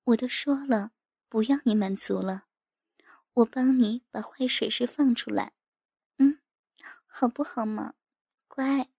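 Tender, worried wife for audio fiction , played by a woman: high, plaintive, cajoling, breathy, unhurried. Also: a little mellow, dry recording, clean studio sound, exaggerated voice. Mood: coaxing, tender. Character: anxious, tender wife, pleading and coaxing.

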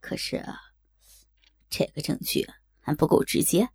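A woman playing a cautious, hesitant deputy mayor who speaks in a measured, deliberate way in an audio drama. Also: low voice, expressive, vocal fry, darkish tone, slightly rough, exaggerated voice.

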